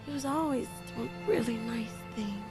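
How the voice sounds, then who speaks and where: A woman's voice: on the high side, moderate pace, over loud music, natural voice. A woman playing a fragile, confused character in a horror audio drama, faltering and apologetic as she talks. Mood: wistful. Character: fragile, confused, frightened woman held captive by dread.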